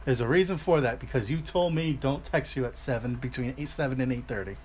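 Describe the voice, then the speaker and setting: A man's voice: medium pitch, fast, slight background noise. A man hosting a casual talk podcast, upbeat and teasing as he reads announcements and needles his co-host. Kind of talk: casual chat.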